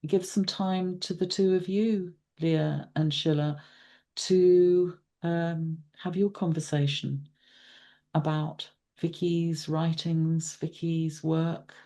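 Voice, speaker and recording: A woman hosting a book launch, handing over to speakers in a halting, measured, unscripted way. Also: deep voice, mellow. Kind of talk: unscripted event introduction.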